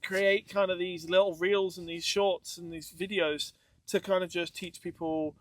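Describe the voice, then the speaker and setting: A man's voice: high voice, fairly even intonation, a little slow, no echo. A man chatting casually as a podcast host about a hobby, recorded outdoors. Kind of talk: casual group chat about off-roading. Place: outdoors in the desert by a campfire at dusk.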